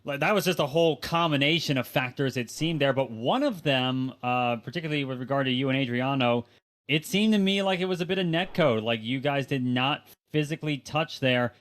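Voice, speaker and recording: A man's voice: mid-range voice, animated, fairly quick, a bit bright. Rambling male co-commentator. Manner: rambling questions full of fillers. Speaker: co-commentator and interviewer. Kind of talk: sim racing broadcast commentary.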